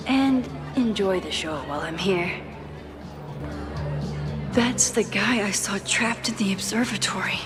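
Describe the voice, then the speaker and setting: Actress as young woman, thoughtful game heroine, medium pitch, understated, introspective, music behind. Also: unhurried, long pauses, close to an everyday voice. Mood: musing. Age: young adult. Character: thoughtful young woman, caring, quietly burdened photographer with powers. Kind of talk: video game acting, inner monologue and casual dialogue.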